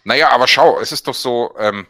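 A man's voice: mid-range voice, fairly quick, sharp tone. A man co-hosting a casual podcast chat, talking in a chatty, opinionated and wry way. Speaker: podcast co-host.

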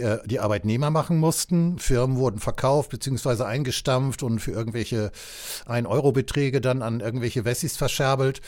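A man from West Germany in a casual political chat, speaking in a measured, hesitant, long-winded way. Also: mid-range voice. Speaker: discussion partner.

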